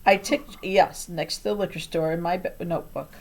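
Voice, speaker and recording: A woman's voice: fairly low pitch, fairly even intonation, moderate pace, darkish tone. A woman reading a children's novel aloud as a scripted first-person story. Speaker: audiobook reader.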